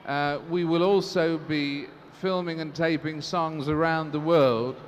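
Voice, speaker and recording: A man's voice: a little high, fairly even intonation, measured pace. A man moderating a press conference, speaking formally and measuredly, with frequent restarts and fillers. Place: large assembly hall. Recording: reverberant hall, faint noise.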